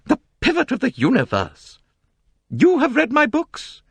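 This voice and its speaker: A man voicing a pompous middle-aged woman novelist with a high, complaining voice. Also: wildly swooping pitch, measured pace, gravelly, a bit bright, husky, no echo, heavily acted. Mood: emphatic.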